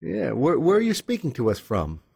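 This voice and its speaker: Husky male podcast host. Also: a little high, huge pitch swings, moderate pace, a little mellow. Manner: friendly, asks short questions. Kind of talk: podcast interview.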